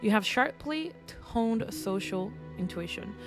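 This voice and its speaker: A woman's voice: medium pitch, animated, measured pace. A woman streamer with a congested, throaty voice chatting playfully and reading game text aloud. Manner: playful and self-mocking, with frequent restarts. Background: game music.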